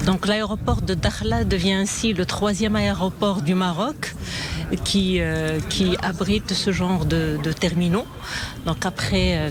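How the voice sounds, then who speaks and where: A man's voice: sits high, noisy background. A man answering an interview question in a hesitant way, with frequent fillers.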